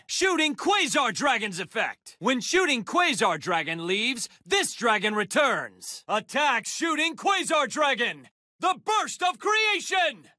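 A man's voice: very high voice, bright, forceful tone, no room sound, studio quality, exaggerated voice. An actor playing a heroic, determined young male card duelist in an anime video game, with intense, dramatic declarations. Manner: intense, dramatic declarations, drawn-out attack calls.

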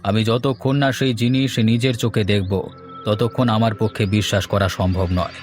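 A man's voice: lowish voice, steady tone, normal speed, soft background music, close to an everyday voice. A man voicing a stubborn old village headman in a horror cartoon. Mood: sceptical, stubborn.

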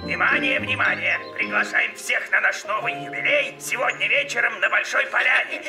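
Creaky, high-voiced boastful caterpillar. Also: male voice, moderate pace, music in the background. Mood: pompous. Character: mischievous male caterpillar, boastful and bossy. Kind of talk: children's cartoon acting.

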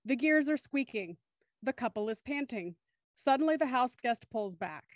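A woman's voice: a little high, animated, moderate pace, dry recording, clean studio sound. A young woman reading scripted narration for an audio drama.

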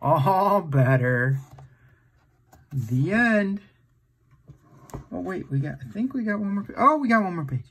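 A man's voice: high-pitched, expressive, measured pace, pauses often, a bit bright, husky. A man hosting a children's storytime, reading a picture book aloud in a playful, exaggerated way and putting questions to the listener.

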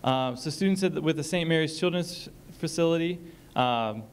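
A man's voice: on the high side, moderate pace, clear, resonant tone. A man speaking on a college panel in an auditorium, explaining a program with frequent ums and reading a short passage aloud. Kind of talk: panel discussion talk.

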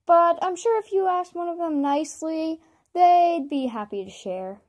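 A woman's voice: very high-pitched, lively intonation, moderate pace, a little mellow, muffled recording, pitched above the performer's own voice. A woman voicing a male warrior cat in an amateur cartoon audition. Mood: earnest. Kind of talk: amateur voice audition line reading.

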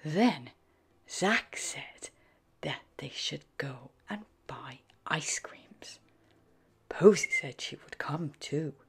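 A woman reading a children's storybook aloud in an animated, sing-song way, with a breathy voice. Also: low-pitched, drawn-out words, whispered. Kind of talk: expressive scripted storybook read-aloud for young children. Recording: background music under the voice. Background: music.

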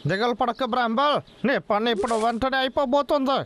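An actor voicing a scheming, gleeful cartoon villain with a gloating, theatrical delivery, over loud music. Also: medium pitch, brisk, a little hiss or hum, natural voice. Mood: sly menacing. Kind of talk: animated children's cartoon dub.

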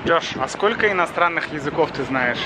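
A young man hosting a vlog, chatting excitedly on a city street and in a restaurant, filmed at arm's length. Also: fairly high pitch, background noise. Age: young adult. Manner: excitable, exclaims loudly, explains slowly. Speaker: vlog host, Russian teacher. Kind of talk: casual chat.

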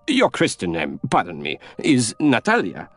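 A man voicing an excitable, self-assured Continental gentleman in an audiobook, speaking emphatically and exclaiming. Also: lowish voice, moderate pace, soft background music, voice pushed up. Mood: puzzled, polite.

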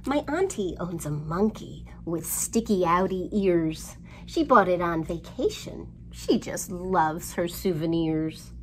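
A middle-aged woman hosting storytime for young children, with an expressive, sing-song delivery, recorded indoors a short way from the microphone. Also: fairly low pitch, slow pace, hardly any pauses, slight background noise. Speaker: children's library storytime host. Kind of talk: storytime rhymes and picture book reading for young children. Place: indoors in a library room.

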